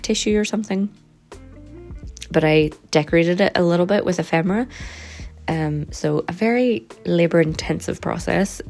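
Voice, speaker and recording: A woman talks in a loose, rambling vlog chat, dotting her sentences with ums. Her voice sits low and has a gravelly, croaky edge from hoarseness. Soft music plays faintly behind her. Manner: relaxed and rambling, with frequent ums. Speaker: vlogger and sticker and journal maker. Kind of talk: casual chatty vlog.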